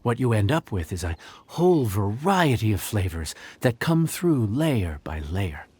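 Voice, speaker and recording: An actor playing a modest, patient young male chef in a video game, explaining in a measured, earnest way. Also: fairly low pitch, big pitch swings, a little mellow, husky, dry recording, heavily acted. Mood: earnest, instructive.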